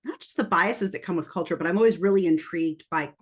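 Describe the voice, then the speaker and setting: A woman's voice: fairly low pitch, quick pace, slightly rough. A woman hosting a casual interview over a video call, upbeat and enthusiastic. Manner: upbeat, enthusiastic, laughs easily. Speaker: host.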